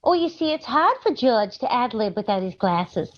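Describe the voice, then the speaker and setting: Actress as a high-voiced, scatterbrained radio comedy wife. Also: female voice, big pitch swings, normal speed. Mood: innocent, curious. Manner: innocent, ditzy. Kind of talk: 1940s radio comedy acting. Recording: slightly dull, band-limited sound.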